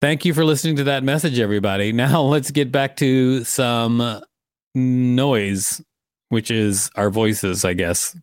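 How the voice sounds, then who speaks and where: A man's voice: medium pitch, a little slow, no echo, studio quality. A man reading an article aloud as a host, with casual asides.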